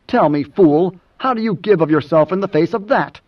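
A man voicing a world-weary older majordomo with a measured, cutting, lecturing manner and quiet command, in an audiobook. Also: fairly high pitch, recorded from a distance, dull audio, natural voice. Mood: impassioned, scornful.

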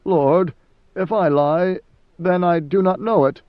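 A man's voice: high-pitched, slow pace, dry recording, lo-fi sound, pitched above the performer's own voice. A man voicing an anxious, devoted male major domo who speaks in a pleading, deferential way.

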